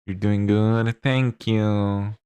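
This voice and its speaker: A man's voice: lowish voice, steady tone, drawn-out words, a little mellow, clean tone, no room sound. A man from Chile with a Chilean Spanish accent, a native Spanish speaker chatting casually on a livestream, musing aloud while he works and answers chat. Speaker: streamer.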